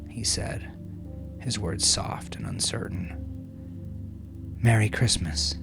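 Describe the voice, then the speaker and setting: A man narrating dramatic fiction from a script in a measured, solemn manner, with hushed phrase endings. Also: sits low. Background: music.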